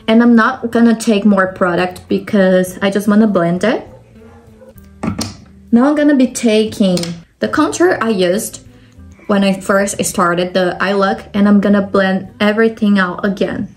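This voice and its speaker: A young woman from Brazil with a Brazilian Portuguese accent, talking through a makeup tutorial step by step and often saying "like". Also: medium pitch. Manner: step-by-step explaining, frequent "like" fillers. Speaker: makeup vlogger.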